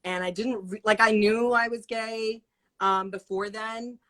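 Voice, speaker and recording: A woman's voice: on the high side, steady tone, unhurried, fairly bright tone. A woman in her twenties hosting an interview over a video call, affirming and thoughtful, with many fillers as she asks questions. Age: 20s. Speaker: host. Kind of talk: casual interview chat.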